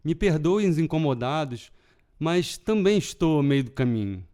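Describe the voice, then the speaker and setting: A man with a Carioca accent that leans toward European Portuguese, host of a literary podcast, giving measured scripted narration. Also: on the high side, expressive, mellow, clear, resonant tone. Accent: Rio de Janeiro Portuguese with European Portuguese touches. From Brazil. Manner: measured, deliberate reading.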